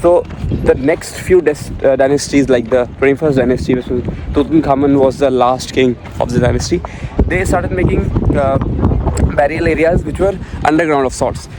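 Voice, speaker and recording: Indian man vlogging amid loud outdoor noise. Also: medium pitch. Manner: loose and chatty, many "uh" pauses and restarts. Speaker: travel vlogger. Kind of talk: casual walking tour commentary. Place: outdoors at an ancient monument site and inside a stone passage.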